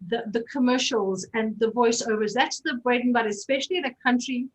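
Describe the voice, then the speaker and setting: A woman's voice: a little high, brisk, poor audio. A woman hosting an interview, chatty and enthusiastic as she asks questions. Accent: slight non-native accent in Hebrew. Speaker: interview host. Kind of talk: conversational interview.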